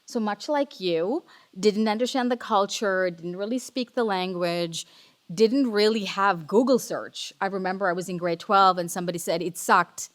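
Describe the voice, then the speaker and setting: A woman in her forties from Sri Lanka, speaking on a panel in a lively, chatty, informal way. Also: mid-range voice, normal speed. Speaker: panelist, tech company executive. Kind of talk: casual panel talk.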